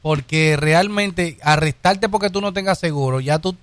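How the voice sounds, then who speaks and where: A man's voice: fairly high pitch, normal speed, fairly bright tone, dry recording. A man co-hosting a radio show, arguing his point emphatically.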